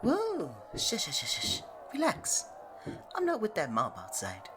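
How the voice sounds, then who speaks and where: A woman voicing a flirty, affectionate god of love in a playful, coaxing ASMR romance roleplay, with a breathy delivery. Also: mid-range voice, wildly swooping pitch, a little slow, noisy background. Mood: soothing. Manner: coaxing, playful, pet names like sweetie and honey.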